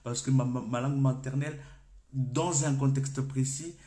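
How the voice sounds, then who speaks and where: A Comorian-speaking man giving a loose, off-the-cuff coaching talk, addressing the viewer informally. He works through his ideas out loud and restarts his sentences as he goes. His mid-range voice rings in a roomy, echoing space. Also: mid-range voice, steady tone, moderate pace. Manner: thinks aloud, informal, stops and restarts phrases. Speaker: personal development coach. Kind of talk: unscripted coaching talk to camera. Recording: echoey room.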